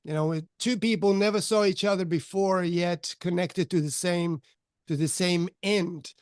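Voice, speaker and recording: Fifties male podcast guest, raised in Switzerland; halting, filler-heavy telling, crisp high voice. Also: steady tone, no room sound, clean studio sound. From Switzerland, of Italian parents. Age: 50s. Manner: halting, many fillers and restarts, soft laughs. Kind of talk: candid life-story interview.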